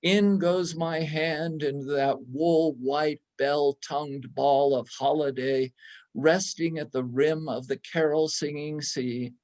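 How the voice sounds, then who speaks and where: A man reading literary prose as a storyteller, in measured, deliberate phrases. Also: on the high side, fairly even intonation, darkish tone. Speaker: reader in a church readers theatre.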